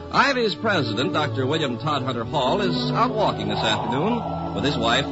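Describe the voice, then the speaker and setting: Lively male vintage radio announcer. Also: mid-range voice. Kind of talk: 1950s scripted radio announcing and narration. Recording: muffled vintage radio recording under loud music. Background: loud music.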